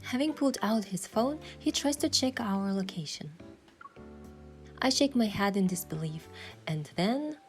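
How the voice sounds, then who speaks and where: A woman playing an earnest, playfully cheeky young hunter heroine, mixing reflective first-person story narration with expressive video game dialogue. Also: medium pitch, measured pace, over music, close to an everyday voice. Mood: reflective, descriptive.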